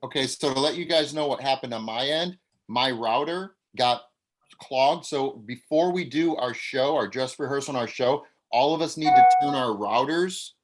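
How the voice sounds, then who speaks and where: A man's voice: medium pitch, moderate pace. A man speaking as an acting coach and director, leading a casual online group meeting in an encouraging, directing way. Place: online video call.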